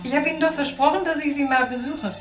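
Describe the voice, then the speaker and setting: A woman's voice: high-pitched, fairly even intonation, fast, music in the background, close to an everyday voice. An actress playing a kindly, forthright middle-aged married woman in a crime radio drama, courteous and direct. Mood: friendly, forward. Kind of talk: naturalistic German crime radio drama acting.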